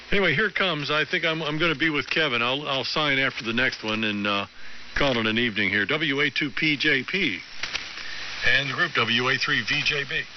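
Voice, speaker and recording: An American man chatting casually as an amateur radio operator over an AM shortwave link. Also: medium pitch. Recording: muffled, noisy AM shortwave radio. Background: radio static.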